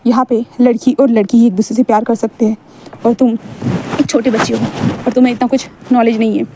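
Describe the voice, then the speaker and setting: A woman's voice: a little high, level tone, brisk, noisy background, close to an everyday voice. A woman voicing a stern older man who answers curtly and dismissively. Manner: curt, dismissive. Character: stern older male mentor.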